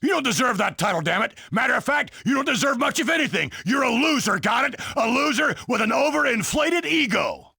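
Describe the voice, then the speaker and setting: A gravelly, domineering boss. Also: male voice, very high voice, lively intonation, fairly quick, hardly any pauses, sharp tone, dry recording, clean studio sound, exaggerated voice. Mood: angry, contemptuous. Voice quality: gravelly, hoarse. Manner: belligerent, imperious. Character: angry, domineering older male wrestling company boss. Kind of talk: video game cutscene acting.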